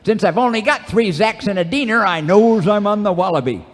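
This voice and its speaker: An actor playing a cantankerous, boastful old cowboy sidekick, spinning folksy, rambling tales in a live old-time radio comedy. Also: male voice, high voice, animated, brisk. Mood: animated, boastful. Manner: folksy, rambling, theatrical storytelling. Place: live radio theater stage. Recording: echoey theater stage, music behind.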